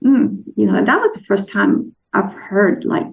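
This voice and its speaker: Woman from denmark, gravelly voice, chatty guest telling a story, stops to hunt for words now and then. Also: medium pitch, lively intonation, unhurried, low-quality recording. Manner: warm storytelling with restarts and searching for words. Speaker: guest author. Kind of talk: casual interview chat.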